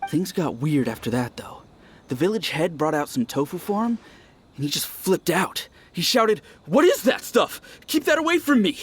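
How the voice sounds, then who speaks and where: A man's voice: a little high, very animated, normal speed, fairly bright tone, slightly rough, heavily acted. A man voicing a chatty, gossipy village man who tells his story animatedly, in a video game.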